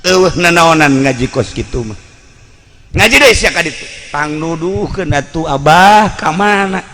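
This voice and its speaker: A man's voice: fairly high pitch, unhurried, sharp tone, slight background noise. A man with a Sundanese accent preaching through a folk tale, in an earthy, blunt storytelling way that acts out the dialogue. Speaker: Islamic preacher.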